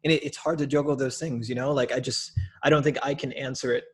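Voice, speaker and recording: A young man from Minneapolis talking as a podcast guest, casual and animated, blunt, with slang. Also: mid-range voice, fast talker, a crowd in the background. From Minneapolis, USA. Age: young adult. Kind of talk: casual conversation.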